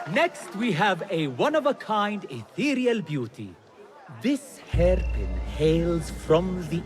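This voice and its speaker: An actor playing a flamboyant, ingratiating auctioneer in a video game, delivering flowery sales patter to a crowd. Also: male voice, sits high, full character voice. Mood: coaxing, showy. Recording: loud music and crowd behind.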